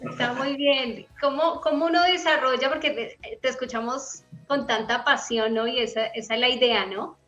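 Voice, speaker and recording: A woman's voice: high-pitched, fairly even intonation, moderate pace, hardly any pauses, fairly bright tone, soft background music. A woman interviewing a guest, asking friendly, slightly hesitant questions. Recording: dull, muffled sound.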